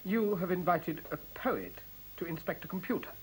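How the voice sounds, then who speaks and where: A man's voice: high-pitched, big pitch swings, normal speed, slight background noise, poor audio. A man playing a sceptical, wry biologist in a radio play, questioning with precise words.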